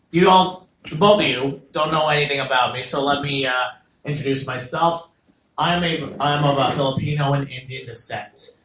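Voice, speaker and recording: A young man doing a stand-up comedy set as the host of an open mic, animated and jokey, with loud bursts on the punchlines. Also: mid-range voice, echoey room, slight background noise, low-quality recording. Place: small comedy venue.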